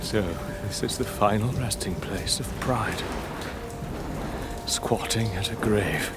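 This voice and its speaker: An actor playing a proud, tormented, weary lord in a gothic audio drama, speaking in halting, archaic, theatrical phrases. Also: male voice, on the low side, heavy background noise. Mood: brooding, morbid wonder.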